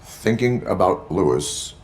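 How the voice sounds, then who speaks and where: A man voicing a stoic cyborg police officer in a video game, with stiff, formal, deadpan delivery. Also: sits low, a little slow. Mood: flat, dutiful.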